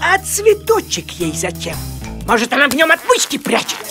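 A woman voicing an anxious, money-loving male farmer cat in an animated series, with a dramatic, exclamatory manner. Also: high-pitched, moderate pace, over music. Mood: suspicious.

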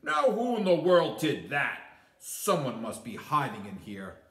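A man playing a startled, suspicious night watchman in a storybook, questioning loudly. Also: medium pitch, huge pitch swings, a little slow, roomy sound, voice pushed up, full character voice. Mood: suspicious alarm. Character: gruff, startled adult male night watchman.

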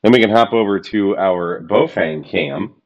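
A man in his thirties with a low voice talks through radio gear in a relaxed, rambling way, full of fillers and restarts. He sits in a home office, recorded by a desk camera at a medium distance. The sound is slightly dull and lo-fi. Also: a little slow, creaky. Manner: chatty, loose, lots of fillers and restarts. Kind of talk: casual product walkthrough. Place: home office room, indoors.

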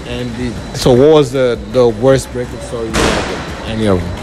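A man from Nigeria hosting a casual street interview in a store, asking drawn-out questions and laughing easily. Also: mid-range voice, noisy background. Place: indoor clothing store and outside a store. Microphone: handheld phone held toward guests. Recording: background music under voices.

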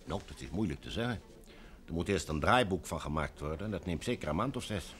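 An actor playing a chatty, enthusiastic film man in a vintage radio play, with an animated, talkative manner. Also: deep voice, fairly quick. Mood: brisk, chatty.

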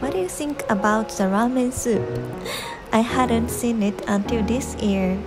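High-voiced Japanese-accented woman, unhurried. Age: young adult. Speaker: vlog host. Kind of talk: scripted travel narration. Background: background music.

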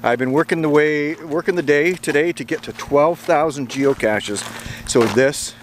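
A man's voice: on the high side, normal speed, background noise. Chatty middle-aged man vlogging outdoors. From Canada. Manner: chatty, upbeat, laughs often. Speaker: geocaching vlogger. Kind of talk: casual outdoor vlog commentary. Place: outdoors on a bridge and wooded trails in summer. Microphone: handheld camera at arm's length.

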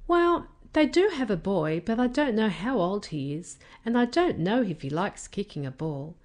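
A woman reading the part of a patient, reassuring father in a children's storybook, explaining things calmly. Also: mid-range voice, moderate pace, close to an everyday voice.

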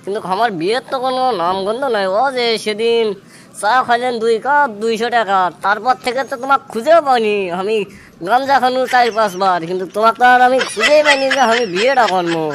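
A man's voice: very high-pitched, fast talker, a bit bright. Comic cartoon stoner bachelor male actor, northern Bangladeshi Bogra dialect, brash and complaining, slightly noisy home recording. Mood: agitated, complaining. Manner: rambling, boastful, complaining. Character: brash, impatient stoner young man desperate to get married.

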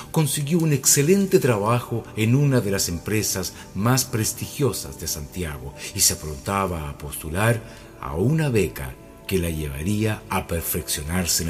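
A man as the dramatic narrator of a radio mystery tale. His low voice moves expressively, with suspenseful pauses over faint music. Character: dramatic male storyteller of mystery tales.

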